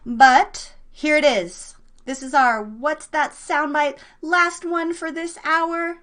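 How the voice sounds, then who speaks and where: A woman with a fairly high voice hosts a live radio guessing game. Her delivery is casual and excitable, with slangy, informal encouragement for the players. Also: takes it slowly. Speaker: live show host running a guessing game.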